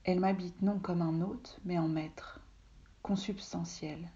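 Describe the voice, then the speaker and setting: A woman from Russia whose first language is Russian, calmly reading a literary text aloud from her home office, often soft and breathy. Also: lowish voice, unhurried, dull audio. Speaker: reader, translator.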